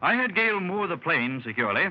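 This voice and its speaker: A bold old-time radio explorer. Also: male voice, high voice, big pitch swings, brisk. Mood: grave. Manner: dramatic storytelling, shouting orders in the action scene. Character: daring male explorer recounting a jungle escape. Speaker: club member telling his adventure. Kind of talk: 1930s radio drama acting. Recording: muffled 1930s radio recording with hiss.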